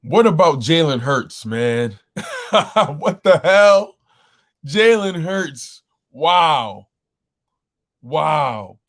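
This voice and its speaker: Slow-talking man hosting a sports stream. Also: fairly high pitch, very animated, a bit bright. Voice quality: slightly scratchy voice. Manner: repetitive, exclaiming, greets chat viewers. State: throat a bit rough. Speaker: host of a sports call-in show. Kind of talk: casual live-stream sports talk.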